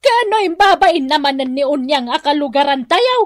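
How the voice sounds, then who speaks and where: A woman's voice: high-pitched, lively intonation, moderate pace, dry recording, studio quality. A woman with an Ilocano accent playing a concerned, disapproving village gossip in a radio drama.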